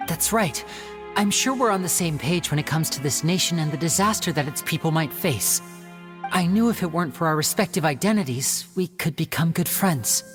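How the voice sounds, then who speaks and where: Actress as suave young man, a stage magician in a game: low, polite, earnest, music underneath. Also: close to an everyday voice. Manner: soft-spoken, polite, earnest. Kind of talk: video game voice acting.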